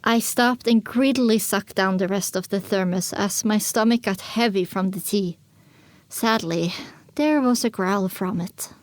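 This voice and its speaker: A woman's voice: medium pitch, measured pace, no room sound, close to an everyday voice. A woman narrating a dramatic audiobook in the first person as a young filly pony, reflective and scripted. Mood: descriptive. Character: young filly pony recounting her wasteland journey.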